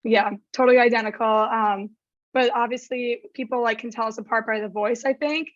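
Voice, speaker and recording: A young woman with a raspy voice, chatting casually as a podcast guest and saying "like" a lot. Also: fairly high pitch, steady tone, brisk, dry recording, muffled recording. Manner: chatty, heavy use of "like". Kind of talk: casual chat.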